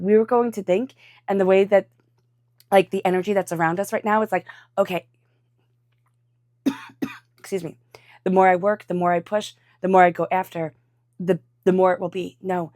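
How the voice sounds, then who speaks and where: A woman's voice: on the low side, darkish tone, no echo, clean studio sound. A congested woman with a cold giving an encouraging tarot reading, talking straight to the listener. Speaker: tarot reader. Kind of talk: tarot reading with guided meditation.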